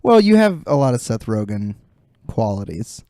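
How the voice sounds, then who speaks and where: A man's voice: fairly low pitch, very animated, normal speed, warm tone, no room sound, clean studio sound. A man co-hosting a podcast, chatting casually with informal, joking banter. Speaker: podcast co-host, musician. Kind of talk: casual chat.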